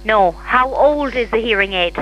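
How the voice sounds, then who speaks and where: Female Irish receptionist, crisp bright tone, slightly high, patiently explaining over the phone. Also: expressive, moderate pace, highs cut off. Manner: patient, repeats and clarifies. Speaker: hearing aid shop receptionist. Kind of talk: customer service phone call.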